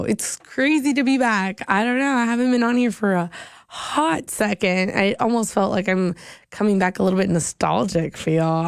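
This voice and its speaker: A young woman hosting a college radio show, chatting casually and rambling upbeat, her voice slightly hoarse and giving out. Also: medium pitch, no echo, studio quality. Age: young adult. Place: radio studio booth. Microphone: studio microphone.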